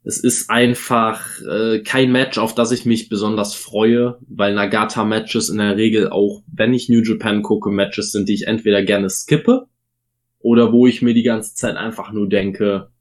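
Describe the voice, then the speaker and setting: A man with a German accent and a fairly low voice co-hosts a relaxed wrestling podcast. He talks in an opinionated way, stopping often for fillers as he weighs each point. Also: measured pace. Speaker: podcast co-host. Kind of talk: casual wrestling podcast chat.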